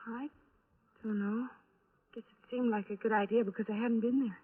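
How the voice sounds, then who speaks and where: A woman's voice: on the high side, fairly even intonation, breathy edge. An actress playing a guarded, uncertain female witness, hesitant and defensive, in a 1950s radio crime drama. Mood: evasive. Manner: hesitant, halting. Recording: restored 1950s radio, narrow band.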